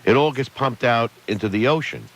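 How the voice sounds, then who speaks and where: A man's voice: medium pitch, expressive, a little fast, rough recording. A man co-hosting a radio talk show, chatty and wry in manner. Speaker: radio co-host.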